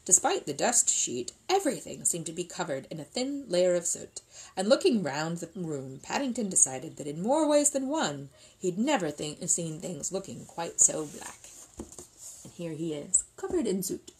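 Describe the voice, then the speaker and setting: Expressive middle-aged woman reading a children's story. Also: lowish voice, moderate pace, slight background noise, poor audio. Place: indoors, seated at a table with an open book.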